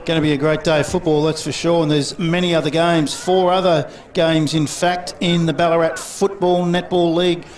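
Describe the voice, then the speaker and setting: A man with an Australian accent leading sports commentary, brisk and fluent as he reels off names, fixtures and scores. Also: on the high side, level tone. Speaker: lead commentator. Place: outdoor football ground. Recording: outdoor commentary with clear crowd.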